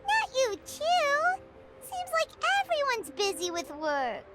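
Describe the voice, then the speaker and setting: A woman voicing a small, chatty, childlike fairy companion in an anime game, blunt and exclamatory, with a squeaky voice. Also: wildly swooping pitch, takes it slowly, very noisy, exaggerated voice. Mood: pouty. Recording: loud background music.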